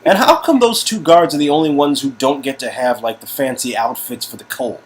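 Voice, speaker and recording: A man's voice: fairly high pitch, animated, a little fast, a little hiss or hum. A man co-hosting a casual read-through of a story game, narrating scenes and chatting. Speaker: co-host and narrator.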